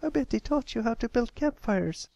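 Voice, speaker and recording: A sweet little pony filly from a storybook, put on by one reader, chirpy and pitched way up. She sounds admiring and caring, in a dry close recording. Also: lively intonation, normal speed, creaky, mellow, no room sound, highs cut off. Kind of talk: acted fan fiction reading.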